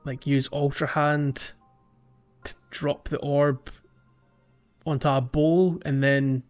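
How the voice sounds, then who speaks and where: A man's voice: a little high, level tone, takes it slowly, clean tone. A man hosting a casual video game playalong podcast, explaining things in a tentative, hesitant way. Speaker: podcast host. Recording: slightly dull audio, music bed. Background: background music.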